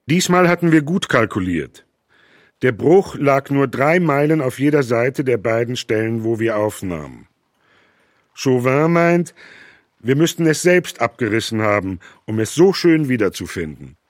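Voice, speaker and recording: A man's voice: medium pitch, expressive, a little slow, no room sound, clean studio sound, natural voice. A man reading as a Victorian cable engineer. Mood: matter-of-fact. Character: 19th-century cable engineer writing reports. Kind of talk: reading historical letters in a radio feature.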